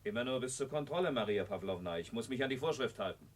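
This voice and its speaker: Guy playing bossy prison guard in 60s radio drama, calling out from far off, clipped, pitch jumps around a lot. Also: animated, fast, lightly acted. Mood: officious. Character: rule-bound male prison guard sergeant, brusque. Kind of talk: 1960s German radio drama acting. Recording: distant from the microphone.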